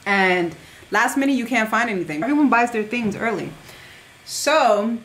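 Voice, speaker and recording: A woman's voice: on the high side, normal speed, fairly bright tone, slight background noise, low-quality recording. A young adult woman chatting casually to camera as a vlogger, informal and rambling, often trailing off mid-thought. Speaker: vlogger showing a shopping haul. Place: living room at home, indoors.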